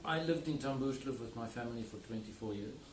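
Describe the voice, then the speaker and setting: A man from South Africa who speaks Afrikaans as his first language, talking about his wine estate in an unhurried, explanatory way with an accent. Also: medium pitch. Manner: unhurried, explanatory, with many fillers. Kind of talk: casual interview. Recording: roomy, distant mic, clear background noise.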